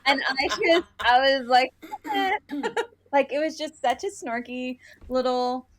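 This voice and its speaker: A woman's voice: high-pitched, takes it slowly, fairly bright tone, slight background noise. Chatty woman co-hosting a book podcast. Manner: informal, chatty, gushing, frequent "like". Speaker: book review podcast co-host. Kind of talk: casual book chat with read-aloud quotes.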